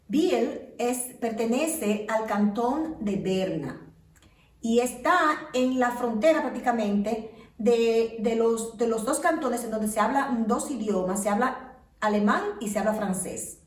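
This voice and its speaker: A woman hosting an interview, introducing her guest and asking lively questions. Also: a little high, reverberant, recorded from a distance. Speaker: interview host. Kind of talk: casual interview, warm introductions. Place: home of the guest.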